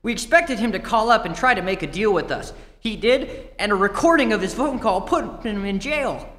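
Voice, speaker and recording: Woman as excitable boy sidekick, lively radio style. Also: mid-range voice, normal speed, lightly acted. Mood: explaining. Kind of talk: 1940s radio acting. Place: stage of a community theatre. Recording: reverberant theatre hall.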